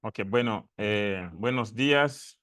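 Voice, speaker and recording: A man's voice: on the low side, takes it slowly, a bit bright. A man from the Democratic Republic of the Congo, a doctoral student, speaking on an academic panel in Spanish, his second language, with frequent fillers and restarts. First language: not Spanish; spoke Italian for years before. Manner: hesitant, many fillers and restarts. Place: lecture room at a university event.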